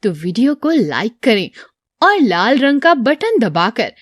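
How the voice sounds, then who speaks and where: Lively high-voiced woman announcer. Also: measured pace, no echo, clean studio sound. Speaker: channel announcer. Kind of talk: scripted subscribe message.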